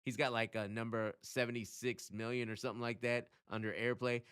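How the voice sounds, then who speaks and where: A man with a bright, forceful voice and clean, smooth tone hosts a casual explainer. His delivery is conversational and emphatic, at a medium pitch. He punctuates his points with rhetorical questions and short check-ins. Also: level tone, a little fast. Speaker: music radio commentator hosting his own channel. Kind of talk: casual explainer talk to camera.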